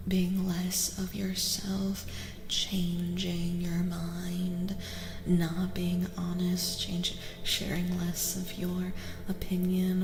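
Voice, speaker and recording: Soft, whispery woman in reverberant ASMR. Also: fairly low pitch. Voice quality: soft, breathy, often dropping to a whisper. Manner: gentle, deliberate, repeats phrases. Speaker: streamer and tarot reader. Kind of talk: soft-spoken ASMR, live tarot reading. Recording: roomy reverberant sound, faint noise.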